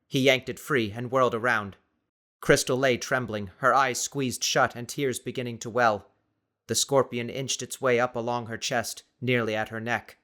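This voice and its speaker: A man's voice: medium pitch, steady tone, normal speed, bright, forceful tone. A man reading scripted fiction as a dramatic audiobook narrator.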